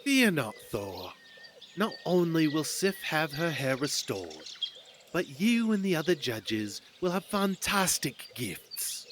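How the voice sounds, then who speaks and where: A man playing a sly, smooth-talking trickster god in a fantasy audio drama, with theatrical flourish. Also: fairly high pitch, very animated, slow, a bit bright, a little hiss or hum, full character voice. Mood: reassuring, sly.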